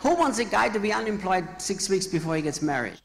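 A man's voice: high-pitched, sing-song, vocal fry. Austrian man preaching in short phrases. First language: German. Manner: speaks in short phrases, pausing for translation, plain and joking. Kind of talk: sermon with live interpreter. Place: church hall in Brazil.

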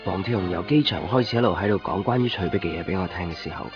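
An actor playing a brooding, gentle young man who narrates his lost love introspectively in a Cantonese radio drama. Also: low-pitched, fast talker, lightly acted. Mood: wistful. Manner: wistful, introspective. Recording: dull 1990s radio recording, music behind. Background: music with guitar.

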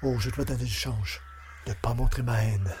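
An actor playing a bitter, vengeful village carpenter, speaking in a brooding, menacing monologue for a French audio drama. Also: male voice, medium pitch, steady tone, normal speed, darkish tone, slight background noise, natural voice. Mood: grieving, hateful.

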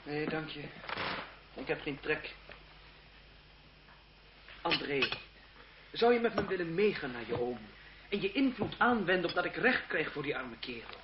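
An old, slightly hissy and dull-sounding radio play features a passionate young French reformer. His voice is high for a man and moves widely in pitch. He declaims with earnest idealism. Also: big pitch swings, unhurried, long pauses. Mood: earnest, reproachful. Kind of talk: radio drama acting. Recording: muffled, hissy old radio drama recording.

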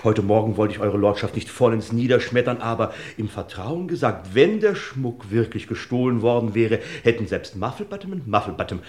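A male actor plays a deferential adviser with a fairly low voice. He speaks hesitantly and confidingly, in the polite style of 1950s radio drama. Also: expressive, normal speed, hardly any pauses, a little mellow, close to an everyday voice. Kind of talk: 1950s German radio play acting. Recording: 1950s radio play recording.

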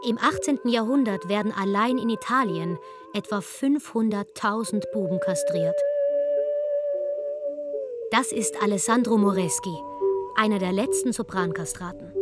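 Wry woman narrator over music. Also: mid-range voice. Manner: wry, sardonic. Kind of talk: scripted documentary narration for radio.

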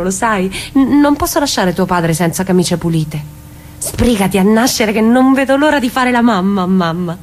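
A woman's voice: mid-range voice, a little mellow. Tender young woman, played by an actress, noisy recording. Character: Lea, dreamy, tender young southern Italian woman, sister and mother. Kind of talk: dramatic reading of a radio play.

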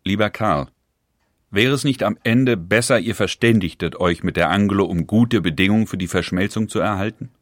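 An actor playing a cautious 19th-century businessman, reading a letter of advice in a measured, earnest way for an audio documentary. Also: fairly low pitch, no room sound, studio quality, close to an everyday voice. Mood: concerned.

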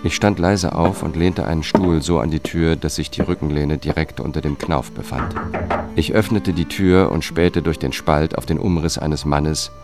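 A man's voice: rumbling low, normal speed, over loud music. An actor playing a wary, courteous government investigator who narrates his own story in a radio drama, matter-of-fact and polite. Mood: matter-of-fact narration. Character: wary male government lawyer turned amateur investigator, courteous. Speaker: first-person narrator and lead.

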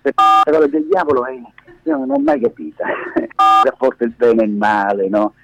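A man's voice: medium pitch, huge pitch swings, moderate pace, vocal fry. A man in middle age talking casually as a radio interview guest, explaining things emphatically and wandering into digressions over the phone. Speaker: interview guest, media activist and lab director. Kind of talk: casual spontaneous radio conversation. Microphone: phone held against face. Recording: muffled, echoey phone call on radio.

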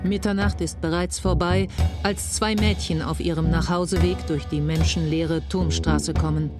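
An actress as a sober crime-case narrator. The woman has a fairly low voice and a suspenseful delivery, with loud music underneath. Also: fairly even intonation, moderate pace, natural voice. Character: matter-of-fact female crime report narrator.

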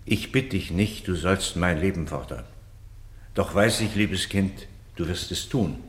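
An actor playing a dignified, defeated Roman general, speaking in noble, measured verse in a radio play. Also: male voice, low voice. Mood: gentle, wounded. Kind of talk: classical verse radio drama acting. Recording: echoey, roomy studio sound.

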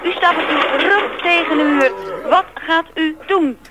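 An actress playing an unflappable, dogged live radio reporter with formal, insistent delivery in a radio play. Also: female voice, extremely high pitch, measured pace. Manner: insistent, formal on-air commentary. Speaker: actor. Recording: very noisy, mooing cattle behind.

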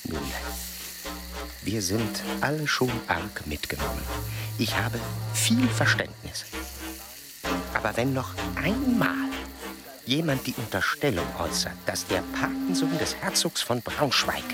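An actor playing a proud, formal scientist-explorer with a measured, pompous manner in a radio play. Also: male voice, mid-range voice, big pitch swings, over loud music. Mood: indignant.

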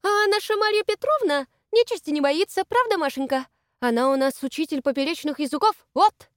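A bossy, self-important young hostess, her voice high and bright as she gushes and fusses over her guests, as a woman reading a storybook puts her on. Her pitch swoops up on every introduction, and the sound is close and dry. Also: animated, a little fast, dry recording. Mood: lively. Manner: shrill, gushing, exaggerated liveliness.

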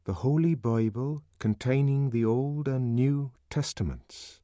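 Man putting on an earnest, high-voiced young outsider. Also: a little slow, mellow, no room sound, clean studio sound, natural voice. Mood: reading aloud. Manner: hesitant, earnest, literary phrasing. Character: earnest, indignant, naive young male outsider reared on Shakespeare.

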